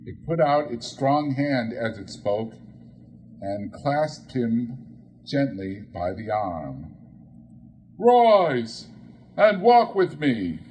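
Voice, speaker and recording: A man narrating a classic story as an audiobook reader, in a dramatic, measured and expressive style. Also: mid-range voice, slight background noise, low-quality recording.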